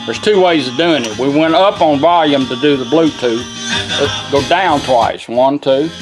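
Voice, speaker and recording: A man with a fairly high-pitched voice shows how a device works. He is casual and folksy, taking it step by step, while guitar music plays clearly behind him. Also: normal speed, poor audio. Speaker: presenter demonstrating a car radio. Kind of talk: casual hands-on product demonstration.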